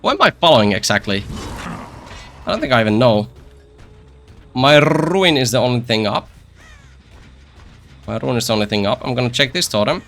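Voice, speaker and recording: A man's voice: medium pitch, animated, moderate pace, pauses often, fairly bright tone, soft background music. A man giving casual gameplay commentary as a streamer, rambling and repeating phrases with self-deprecating, joking asides. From Finland. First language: Finnish. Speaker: game streamer.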